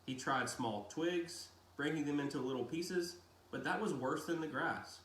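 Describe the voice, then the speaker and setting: A man reading a novel aloud in a deliberate storytelling style with dramatic pauses. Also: a little high, fairly bright tone. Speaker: reader of a children's novel read-aloud. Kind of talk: audiobook-style narration with brief casual asides. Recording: roomy echo, faint background noise.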